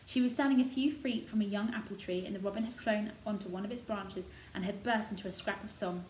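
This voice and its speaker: A woman's voice: mid-range voice, fast, a little mellow. Woman reading a storybook, echoey room. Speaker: storybook reader. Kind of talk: scripted narration of a children's novel. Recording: roomy echo, noticeable background noise.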